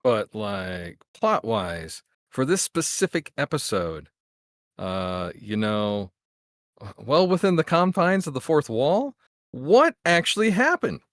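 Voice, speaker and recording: A man's voice: medium pitch, very animated, slow pace, dry recording, studio quality. A man playing an impatient, sarcastic secret agent, blunt and exasperated, in a comedy radio play read. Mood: tentative.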